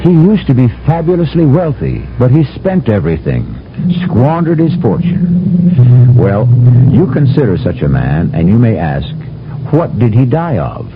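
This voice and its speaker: A man's voice: mid-range voice, sing-song, unhurried, noisy background. A man hosting a radio mystery show, telling a solemn storyteller's introduction. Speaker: radio host. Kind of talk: scripted narration. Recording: dull old radio recording with music.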